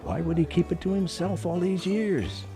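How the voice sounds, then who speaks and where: A man's voice: sits high, normal speed, over music, lightly acted. A man playing an earnest, unsettled hunter in a radio play, hesitant and questioning. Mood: uncertain. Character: earnest, unsettled hunter unsure what he saw.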